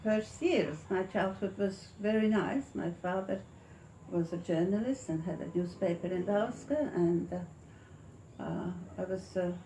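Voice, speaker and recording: Elderly Latvian woman, halting, mellow voice. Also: on the low side. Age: 80s. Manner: halting, searching for words. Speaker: interviewee recalling own life. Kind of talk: oral history reminiscence. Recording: echoey room with faint noise.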